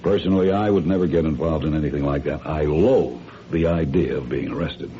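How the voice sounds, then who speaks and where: An actor in an old-time radio drama playing a smooth, calculating crime ringleader who speaks in a polite, measured, condescending way. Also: male voice, extremely low pitch, dark tone. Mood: smoothly persuasive. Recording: dull, noisy old radio recording.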